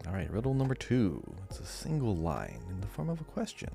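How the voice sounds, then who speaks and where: A man's voice: fairly low pitch, huge pitch swings, fairly quick, creaky, dark tone. A man co-hosting a podcast and running a quiz in casual, conversational talk. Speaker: podcast co-host and quizmaster. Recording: faint music behind the voice. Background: faint music.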